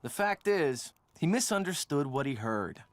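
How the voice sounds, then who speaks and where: A man's voice: fairly high pitch, big pitch swings, moderate pace, no echo. A man playing a glib, casual, evasive young man in a video game. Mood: defensive. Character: evasive, breezy young man, a groom's best friend. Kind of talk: scripted game dialogue.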